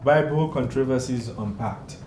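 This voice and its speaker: A man's voice: medium pitch, normal speed, a little mellow. A man hosting a casual studio interview, pressing his guest with teasing, challenging questions. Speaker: talk show host. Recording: echoey studio with background noise.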